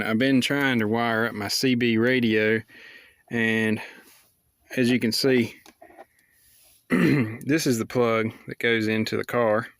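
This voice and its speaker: A man's voice: mid-range voice, no echo. A man casually narrating a hands-on how-to in a folksy, plainspoken way. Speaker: vlogger showing a DIY repair.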